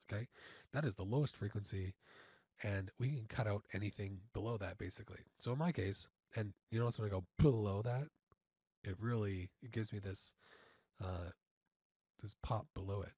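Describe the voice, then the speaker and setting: A man informally instructing step by step in a software tutorial, halting and often checking in, on a close mic. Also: on the low side, breathy edge, no echo. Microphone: close studio mic through audio interface.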